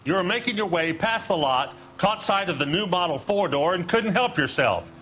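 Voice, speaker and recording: An actor playing a slick, evasive 1940s American used-car dealer in a crime game, with smooth, defensive salesman patter. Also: high-pitched, animated, normal speed, fairly bright tone. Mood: glib, ingratiating. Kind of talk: dramatic game acting, period crime story.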